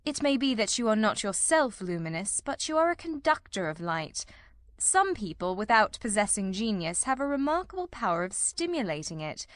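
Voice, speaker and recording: A woman playing a shrewd, self-assured male detective in a dramatized audiobook, with measured, condescending, precise delivery. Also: mid-range voice, a bit bright, no echo, studio quality.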